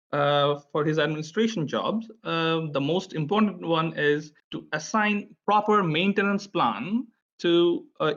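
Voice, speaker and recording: Brief: man hosting a webinar, fairly high voice, dull lo-fi sound, relaxed with lots of "uh". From India. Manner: friendly, chatty, many filler "uh"s. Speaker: presenter at an online tech session. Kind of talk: conference presentation. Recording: dull, lo-fi online audio.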